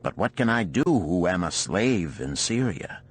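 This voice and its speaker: A man acts out a captive man from an ancient storybook parable, earnest and proud. His voice is low and his words are formal, over faint music. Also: sing-song, measured pace. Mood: defensive. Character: earnest, eager enslaved Babylonian man, proud of free birth.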